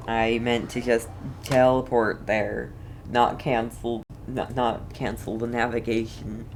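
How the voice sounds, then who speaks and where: A woman's voice: rumbling low, darkish tone, slight background noise. A woman streaming a game, giving casual, understated offhand reactions in brief remarks. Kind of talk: casual let's-play reactions.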